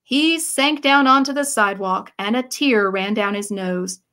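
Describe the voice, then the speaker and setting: A woman storyteller reading a picture book aloud to children in an expressive, inviting manner. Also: medium pitch, unhurried. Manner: expressive, inviting listeners to join in. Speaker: library storyteller.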